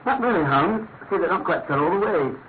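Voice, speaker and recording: An actor playing a plain-spoken, good-natured British detective's companion in a classic radio drama. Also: male voice, high voice, animated, a little fast. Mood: observant, curious. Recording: noisy, narrow-band old radio recording.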